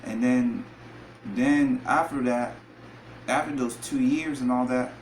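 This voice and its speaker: A man's voice: mid-range voice, steady tone, slow. A man in his thirties from New York, hosting a solo podcast and talking in a rambling way with many repeated filler phrases. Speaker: podcast host. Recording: echoey room, faint background noise.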